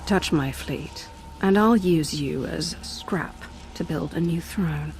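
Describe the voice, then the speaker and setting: Creaky, deep-voiced defiant commander. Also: female voice, takes it slowly, a little mellow, soft background music, poor audio. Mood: firm defiance. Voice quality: gravelly creak. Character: tough, defiant female military commander. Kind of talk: video game voice acting.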